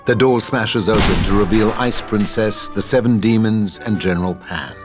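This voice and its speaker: A man's voice: lowish voice, normal speed, over music. A man reading scripted narration and stage directions as the narrator of an audio drama.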